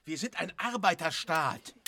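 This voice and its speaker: An actor playing an indignant, scornful workers' activist haranguing a meeting in a radio drama. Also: male voice, fairly high pitch, animated, measured pace, pushed and bright, no echo, studio quality, full character voice. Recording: noisy meeting crowd behind.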